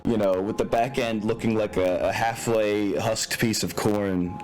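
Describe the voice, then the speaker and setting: A man's voice: fairly low pitch, fairly even intonation, a little slow, darkish tone. A man speaking casually as game master of a tabletop game, drawing out phrases for emphasis. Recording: music behind the voice. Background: background music.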